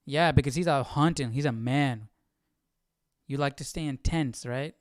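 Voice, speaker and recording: Smooth, casual man on a livestream. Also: mid-range voice, moderate pace, no room sound, clean studio sound. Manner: colloquial, exasperated, incredulous. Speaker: livestream host. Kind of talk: casual reaction commentary.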